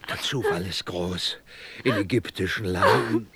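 An actor playing a jaunty, blunt captive servant in a rhymed fairy-tale drama, declaiming in verse. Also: male voice, low voice, wildly swooping pitch, a little slow, over a noisy crowd, heavily acted. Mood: courteous. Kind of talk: rhymed theatrical musical fairy-tale acting.

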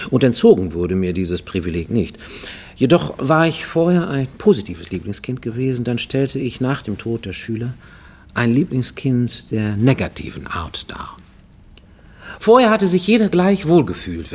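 Low-voiced, haunted confessor. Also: male voice, vocal fry, a little hiss or hum. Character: haunted Japanese man recounting childhood guilt to a professor. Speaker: radio play actor. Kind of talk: German radio play, first-person confessional monologue.

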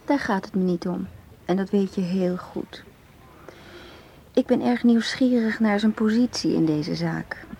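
A woman's voice: medium pitch, measured pace, gravelly, dark tone. An actress playing a cool, self-assured, seductive female agent in a radio play, knowing and challenging in her talk. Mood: probing, confident. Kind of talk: radio drama acting. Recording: hissy old radio play recording.

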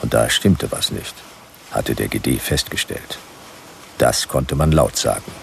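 Laconic noir detective, extremely low pitch, gravelly, sardonic, radio play, slight background noise. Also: male voice, a little slow, heavily acted. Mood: laconic narrating. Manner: dry, terse, sardonic. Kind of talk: noir first-person narration and radio play dialogue.

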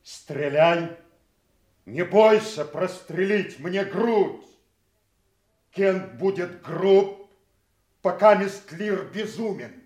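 A man's voice: sits high, extremely slow, long pauses, a little mellow. An actor playing a blunt, loyal and fearless nobleman in a theatrical audio drama, solemn, fervent and defiant. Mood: bold, pleading. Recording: roomy, echoing sound.

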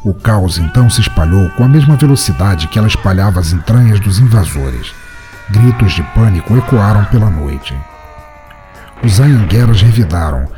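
A man hosting a podcast and narrating a story in a measured, dramatic storytelling manner. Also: fairly low pitch.

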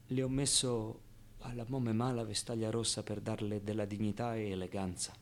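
An actor playing a streetwise, wistful orphan boy in a halting, emotional theatrical monologue. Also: lowish voice, little pitch movement, lightly acted. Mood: tender. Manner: halting, emotional, swinging from outbursts to hushed confiding. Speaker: stage actor.

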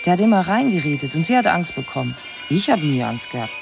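Radio drama: blunt fearless woman, gravelly, conversational, clear music bed. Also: deep voice, very animated, fast talker, heavily acted. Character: fearless, blunt woman recalling constant rows. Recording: music under the voice. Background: instrumental music.

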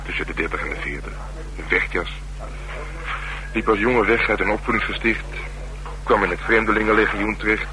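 An actor playing a streetwise, sardonic middle-aged police detective in a Dutch radio drama, talking bluntly and colloquially with dry teasing. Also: male voice, on the low side, brisk, pauses often, lightly acted. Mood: matter-of-fact. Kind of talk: naturalistic radio drama dialogue. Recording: humming, noisy old radio broadcast.

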